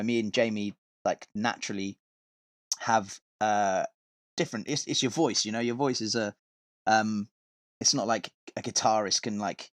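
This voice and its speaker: A man with a fairly low-pitched voice talks as a guest on a podcast. He tells stories in a loose, rambling, informal style with some swearing. The sound is dry, with very little room on it. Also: fairly bright tone, dry recording. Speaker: podcast guest, touring metal vocalist and tour manager. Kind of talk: casual podcast chat, telling stories from touring.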